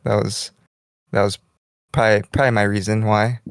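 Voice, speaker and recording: A young man co-hosting a podcast in casual chat, rambling in a relaxed way and saying "like" constantly, lying in bed in a small bedroom. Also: fairly low pitch, fairly quick, clean tone, no room sound, clean studio sound. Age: young adult. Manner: relaxed, rambling, heavy use of "like". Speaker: podcast co-host.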